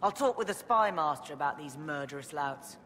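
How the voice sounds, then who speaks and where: An actor playing a stern, dutiful guard sergeant in a fantasy video game, in a reverberant hall. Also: male voice, on the high side, expressive, fairly quick, sharp tone. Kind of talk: cinematic game dialogue acting. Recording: reverberant hall, faint noise.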